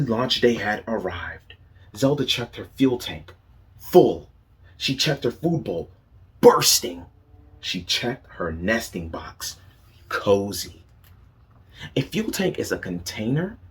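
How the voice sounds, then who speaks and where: A man reading a picture book aloud to young children as a teacher, asking them questions and praising their answers. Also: fairly low pitch.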